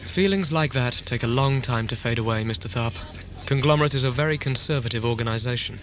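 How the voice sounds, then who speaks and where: An actor playing an embittered robot starship captain in a science fiction audio drama, speaking in a formal, measured, gloomy way. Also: male voice, medium pitch, noisy background, natural voice. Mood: weary, resigned.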